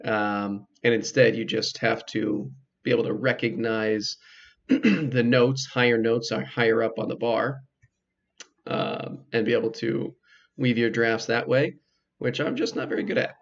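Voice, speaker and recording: A man's voice: mid-range voice, steady tone, unhurried, creaky, muffled recording. A man giving casual, hesitant commentary as he plays through a game. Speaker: game player narrating a playthrough.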